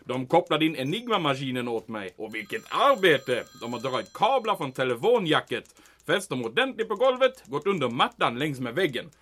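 Man acting as a commandant, highish bright voice, swooping delivery, pompous, comic wartime radio play. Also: sing-song. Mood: delighted, pompous. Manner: pompous, exclaiming. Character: excitable, self-satisfied male German wartime commandant. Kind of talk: comedic audio drama acting.

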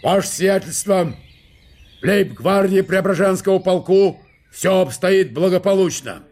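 A man acts out a towering, cheeky guards officer in a radio play. The pitch is lifted high, and the delivery is a formal, exact report to a superior. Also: unhurried, darkish tone. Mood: brisk, formal. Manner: formal military reporting, precise. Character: huge insolent male guards captain, crisply reporting. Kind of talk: radio drama acting.

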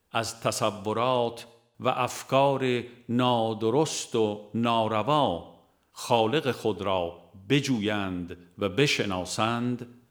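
A man reading a religious book aloud as scripted narration, in a measured, formal and solemn manner. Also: medium pitch. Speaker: audiobook reader.

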